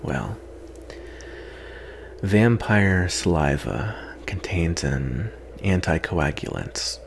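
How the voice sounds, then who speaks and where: Low, slow-spoken guarded male vampire, acted by a man. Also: gravelly, a little hiss or hum, full character voice. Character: guarded male vampire, weary, wry, secretly tender. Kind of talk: ASMR roleplay, intimate scripted acting.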